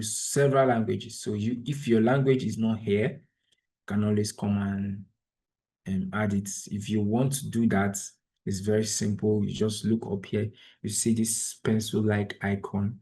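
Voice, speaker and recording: A man's voice: on the low side, vocal fry, a little mellow, slightly rough. A man whose first language is Igbo, from Nigeria, patiently walking through an online tutorial step by step over a video call. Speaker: trainer. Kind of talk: screen-share tutorial.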